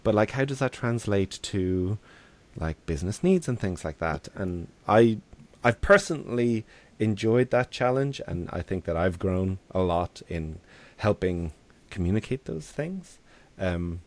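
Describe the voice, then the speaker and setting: A man's voice: on the low side, expressive, a little slow, darkish tone. A man interviewing colleagues in a casual, informal, chatty way. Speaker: interviewer, software engineer.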